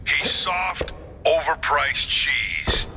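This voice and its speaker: A man's voice: on the high side, very animated, drawn-out words, vocal fry, heavily acted. A man playing an unimpressed crony in a noir cartoon game, dry and sardonic, drawing out his words. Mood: dryly mocking. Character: unimpressed, drawling male crony of a crooked politician. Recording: echoing reverb, faint noise.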